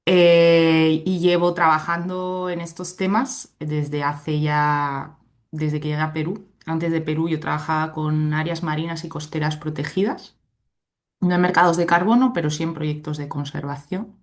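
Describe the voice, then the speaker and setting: Spanish woman, low voice, chatty talk on a video call, keeps asking "right?". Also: fairly even intonation, a little slow. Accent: Spain Spanish. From Spain. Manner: chatty, keeps checking in with "¿no?" and "¿de acuerdo?". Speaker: invited speaker, legal expert. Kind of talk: online conference presentation. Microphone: computer mic on a video call.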